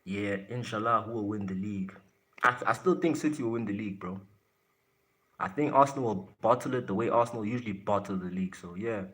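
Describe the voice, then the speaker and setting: A man's voice: fairly low pitch, fairly quick, a bit bright. A man from Africa, chatting casually on a livestream and answering viewer comments bluntly, swearing and trash-talking as he goes. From South Africa. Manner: blunt, brash, swears and trash-talks chat.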